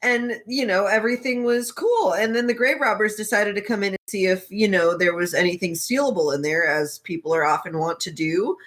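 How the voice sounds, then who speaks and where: A woman's voice: medium pitch, brisk, few pauses. An American woman co-hosting a podcast, casually recapping a story with blunt, sardonic, sweary remarks. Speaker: podcast co-host. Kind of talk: casual book recap chat.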